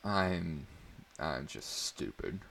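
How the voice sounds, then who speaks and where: A man's voice: extremely low pitch, expressive, extremely slow, creaky, a bit bright, exaggerated voice. A man voicing an awkward, self-doubting teenage schoolboy with a hesitant manner in a story reading. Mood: dejected. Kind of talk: dramatic audiobook reading.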